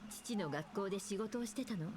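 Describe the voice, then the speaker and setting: A woman voice actor playing a composed, gentle but resolute adult heroine in an anime-style game. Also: mid-range voice, very fast, very noisy, close to an everyday voice. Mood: calm, reflective. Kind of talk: Japanese anime game voice acting.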